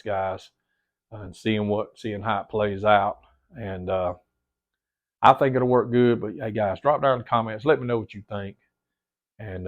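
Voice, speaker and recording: A middle-aged man with a lowish voice and a slightly stuffy nose talks loosely to his camera, circling back and repeating himself as he addresses his viewers as "guys". The sound is dull and lo-fi, as if from a modest camera mic in a home theater room. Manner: rambling, repeats words, keeps addressing "guys". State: a little stuffy-nosed. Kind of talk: casual chat to camera.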